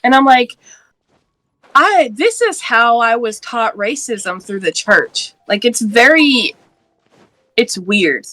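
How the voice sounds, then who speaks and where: A woman's voice: a little high, expressive, takes it slowly, long pauses, a bit bright, dry recording. A woman in her late thirties from Georgia, talking earnestly and passionately in a casual panel discussion, laughing now and then. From Georgia, US Deep South. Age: 30s.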